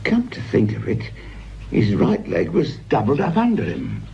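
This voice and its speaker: Wry British sergeant, creaky and animated. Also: male voice, mid-range voice, moderate pace, lo-fi sound, a little hiss or hum. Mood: grumbling, irritated. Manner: sardonic, grumbling, jokey world-weary asides. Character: wry, grumbling, corner-cutting British police sergeant. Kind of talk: radio drama acting.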